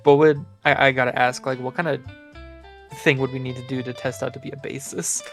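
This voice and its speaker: A male player at a tabletop game who chats casually and fires off quick questions. His pitch is ordinary for a man, and faint music plays behind him. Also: level tone, fairly bright tone.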